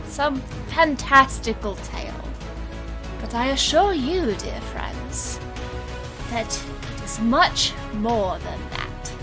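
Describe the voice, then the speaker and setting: A woman playing a showy, defiant young magician in an audio drama, with theatrical delivery and fierce protectiveness. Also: sits high. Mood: grand showmanship. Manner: theatrical showman delivery, swinging to hushed narration. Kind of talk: audio drama acting with first-person narration.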